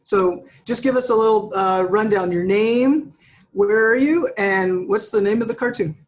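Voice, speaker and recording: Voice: medium pitch, unhurried. Upbeat panel moderator on a call. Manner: upbeat, brief interjections. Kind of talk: hosting an online artist panel. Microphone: video call. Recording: slightly muffled call audio.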